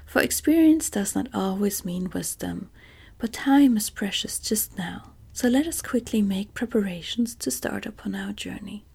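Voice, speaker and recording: A courteous tin man emperor, stately and earnest, voiced by a woman in a hushed whisper. The sound is dark and mellow with a gravelly, breathy grain. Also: medium pitch, normal speed. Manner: soft whispered delivery.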